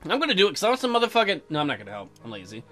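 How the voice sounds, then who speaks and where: Joking man with a bright, lively voice. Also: on the high side, racing. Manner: joking, crude, sing-song asides. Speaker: let's player. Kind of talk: casual game commentary, reading visual novel text aloud. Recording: music behind. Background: game music.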